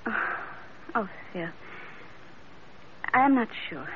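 An actress playing an earnest, composed young Chinese bride in an old radio drama, speaking formally and carefully. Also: female voice, on the high side, expressive, slow, a little hiss or hum. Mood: pleading, earnest. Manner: formal, careful phrasing without contractions. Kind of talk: 1940s-50s radio drama acting. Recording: tinny, narrow-band old radio recording.

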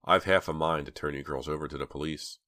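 A man voicing a big, cold, menacing male killer in a storybook reading, speaking low and slow. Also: steady tone, pushed and bright, dry recording.

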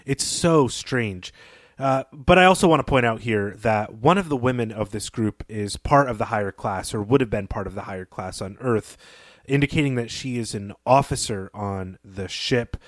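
Mid-pitched man discussing books, dry sound. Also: normal speed, dry recording. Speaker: podcast co-host. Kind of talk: conversational literary discussion.

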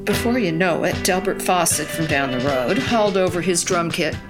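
Actress as reflective woman narrator, animated pitch, conversational, wistful, audio drama storytelling. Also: over loud music, close to an everyday voice. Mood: wistful wonder. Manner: conversational, reflective, with halting repeats. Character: woman recalling her musician past, wistful and wonderstruck.

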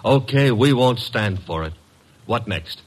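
An actor playing a young, cheeky detective's assistant in an old radio drama, flippant, slangy and streetwise. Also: male voice, medium pitch, expressive, a little slow, slightly rough. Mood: wry.

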